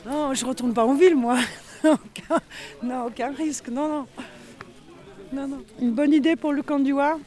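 A man's voice: very high-pitched, fairly even intonation, normal speed, pauses often, a little mellow. A man interviewed about village life, talking casually and hesitating with many fillers. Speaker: villager interviewed on local radio. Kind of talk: street interview, casual talk.

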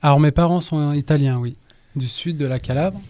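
A musician from Lyon answering interview questions briefly, with fillers. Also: male voice, fairly high pitch, normal speed, warm tone, dry recording. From France, Lyon. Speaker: musician guest.

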